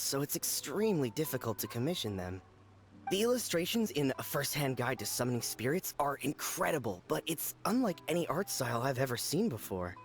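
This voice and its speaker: A man's voice: medium pitch, lightly acted. A man voicing an earnest, opinionated book enthusiast in an anime game, giving emphatic advice. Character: opinionated male light-novel enthusiast, earnest and knowing.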